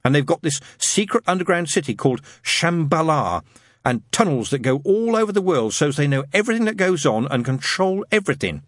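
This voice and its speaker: Man voicing a bossy, animated know-it-all boy. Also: fairly high pitch, a little fast, no echo, clean studio sound, voice pushed up. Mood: lofty, confident. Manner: lofty, emphatic, insistent.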